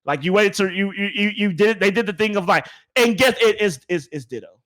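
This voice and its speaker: High-voiced man hosting a casual podcast. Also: a little fast, fairly bright tone. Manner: emphatic, repeats words and phrases for emphasis. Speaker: podcast host. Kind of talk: casual opinionated chat.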